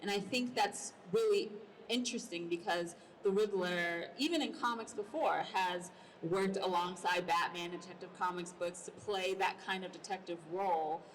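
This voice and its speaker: Low-voiced woman moderating a panel, distant mic. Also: moderate pace. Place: large indoor convention panel. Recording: noisy convention hall, distant mic.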